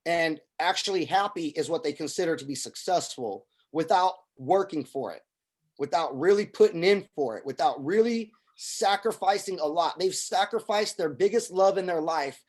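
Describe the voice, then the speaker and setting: A man's voice: a little high, animated, normal speed. A middle-aged man from Syria, a film producer and army veteran, talking earnestly in a casual conversation and often repeating words as he starts a thought.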